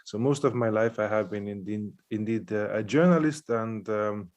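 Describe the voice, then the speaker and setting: Halting man. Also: on the low side, darkish tone. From Estonia. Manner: halting and careful, with many pauses. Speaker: pastor and panelist. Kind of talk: prepared panel talk.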